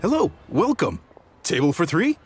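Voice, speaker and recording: A man's voice: a little high, wildly swooping pitch, normal speed. A man playing a hearty, proud restaurant chef in a video game, with a jovial, welcoming manner. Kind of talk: video game character acting. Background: music.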